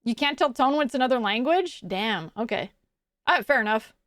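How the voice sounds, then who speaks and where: Young adult woman, high voice, dry close mic, casual streamer chat, rambling, restarts, frequent laughs. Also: animated, moderate pace, fairly bright tone, no echo.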